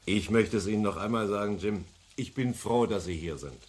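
An actor playing a genial but commanding veteran police chief in a German radio crime drama, measured and authoritative. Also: male voice, fairly low pitch, lightly acted. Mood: cordial, firm.

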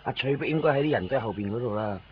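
An actor playing an easygoing, talkative friend who shows the way, speaking colloquially in a Cantonese radio drama. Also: male voice, mid-range voice, very fast, lightly acted. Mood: eager. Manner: chatty, colloquial. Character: chatty, easygoing male friend acting as local guide. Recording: dull radio recording, faint noise.

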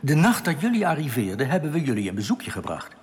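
Cavernous, animated alien outcast. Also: male voice, on the high side, quick pace. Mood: earnest, explaining. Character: male alien outcast, reassuring, earnest, quietly bitter leader. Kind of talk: radio drama acting. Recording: long cavernous reverb.

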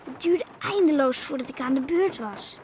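A woman playing a young twin sister from a fishing family in a radio drama, defensive and anxious, with clear noise around her. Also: high voice, expressive, moderate pace.

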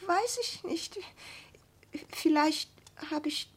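A woman playing a persecuted, confused wife near breakdown in a radio drama, halting and anxious. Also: sits high. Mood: frightened, confused. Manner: halting, anxious, repeating words. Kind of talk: German radio drama acting.

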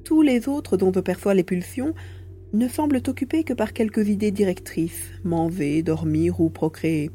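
A man voicing a small telepathic mouse-beaver alien with a husky, playful, grumbling way of talking in an audio story. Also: high-pitched, moderate pace, mellow, soft background music, pitched above the performer's own voice. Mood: matter-of-fact.

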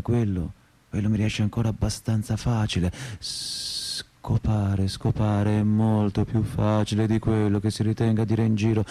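A man's voice: on the low side, darkish tone, close to an everyday voice. An actor playing a frustrated, self-mocking perpetual student in his thirties in a theatrical, rhetorical radio monologue. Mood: bitter, frustrated. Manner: rhetorical, repetitive, shifting between lofty lyricism and crude bluntness.

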